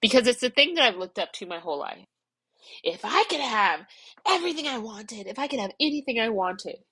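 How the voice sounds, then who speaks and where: A woman's voice: fairly high pitch, sing-song, a little fast, pushed and bright, slightly rough, dry recording. A middle-aged woman talking through her thoughts in a personal vlog, rambling and self-reflective while crying and congested. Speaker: vlogger. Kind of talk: emotional personal vlog, external processing.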